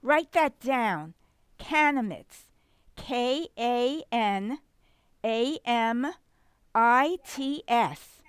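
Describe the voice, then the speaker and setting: An inquisitive reporter, acted by a woman, with a high, smooth voice. She speaks extremely slowly and deliberately, in radio drama style. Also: lively intonation, no room sound, studio quality. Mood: curious, deliberate. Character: curious female reporter at an assembly. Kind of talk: radio play acting.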